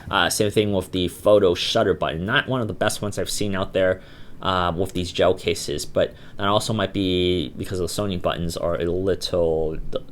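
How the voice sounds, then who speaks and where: A man's voice: fairly low pitch, a little hiss or hum. Man with a cold, reviewing gadgets. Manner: chatty, loose, many fillers and restarts. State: has a cold, voice sounds a bit off. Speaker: tech reviewer, channel host. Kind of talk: casual product review vlog.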